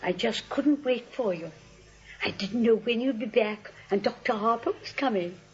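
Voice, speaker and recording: An actress playing a sweet, dotty elderly aunt in a 1940s radio comedy, genteel and fussy, on a vintage recording. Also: female voice, a little high, normal speed, husky, dull audio. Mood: genteel, cheerful.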